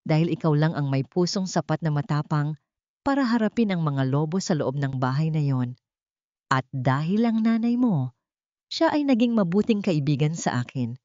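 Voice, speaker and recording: A woman's voice: sits low, lively intonation, dark tone, no echo. A woman voicing an old male gardener, grave, sorrowful and protective, in an audiobook drama. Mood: earnest. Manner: grave, deliberate. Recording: dull, dry lo-fi recording.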